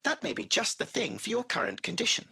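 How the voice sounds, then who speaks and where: A cheeky, urbane British AI chauffeur from a video game. His voice is bright and very husky, with some creak. He chats effusively like a polished butler, swooping through his phrases. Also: very high voice, huge pitch swings, fast talker, exaggerated voice. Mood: chipper, solicitous. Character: urbane, chatty male AI taxi driver, butler-like and cheeky.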